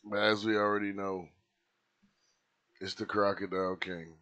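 A man in his thirties hosting a music reaction video at home, talking casually into a headset mic, with slangy remarks and excited outbursts. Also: lowish voice, moderate pace. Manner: excited outbursts, laid-back slangy talk. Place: small room at home, indoors.

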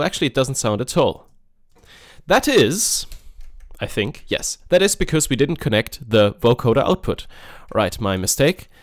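A man hosting a software tutorial in a casual, offhand, informal way. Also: mid-range voice, dry recording, clean studio sound. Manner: informal, offhand, mildly sweary. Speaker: music software tutorial host. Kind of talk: casual screen-recorded tutorial.

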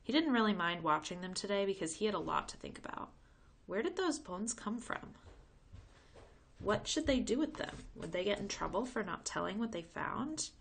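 Creaky-voiced woman reading a storybook. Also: mid-range voice, big pitch swings, moderate pace, slight background noise. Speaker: teacher reading a book aloud. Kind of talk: expressive children's storybook narration.

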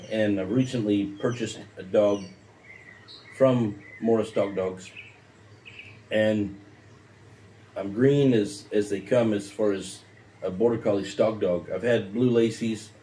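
A man's voice: fairly low pitch, fairly even intonation, takes it slowly, pauses often, mellow, a little hiss or hum. A man in his thirties casually introducing himself as a beginner dog owner, plain and modest, seated on an outdoor patio.

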